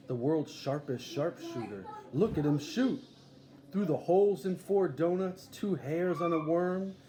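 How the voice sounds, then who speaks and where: Guy doing a boastful kid ringmaster from a picture book, big showy barking, mellow voice, echoey room. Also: a little high, close to an everyday voice. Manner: grandiose ringmaster barking, emphatic lists. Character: eager boastful young boy circus showman. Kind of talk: storybook read-aloud character. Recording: echoey room, faint background noise.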